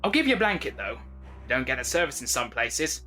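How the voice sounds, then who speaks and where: A man playing a cheeky, excitable working-class Victorian doss-house keeper in an audio drama. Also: mid-range voice, brisk. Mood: brusque, cheeky. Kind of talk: period audio drama acting. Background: music.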